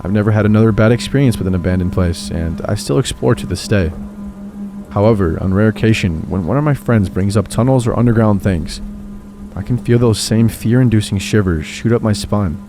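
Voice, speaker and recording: A man narrating true horror stories in a steady, low-key scripted reading. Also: a little fast. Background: faint music.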